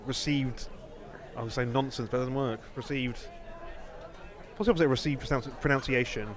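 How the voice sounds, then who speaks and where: A man's voice: medium pitch, fairly even intonation, a little fast, crowd noise. A man hosting a podcast in rambling, bantering casual chat. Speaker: podcast host.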